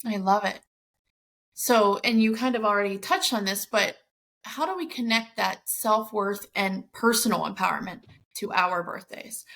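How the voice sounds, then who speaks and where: A woman's voice: medium pitch, normal speed, creaky, fairly bright tone. A woman hosting a podcast interview, bubbly and enthusiastic in her questions. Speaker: podcast host.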